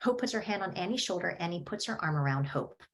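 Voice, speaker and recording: A woman's voice: on the low side, lively intonation, fast talker. A woman reads stage directions as scripted narration at a table read.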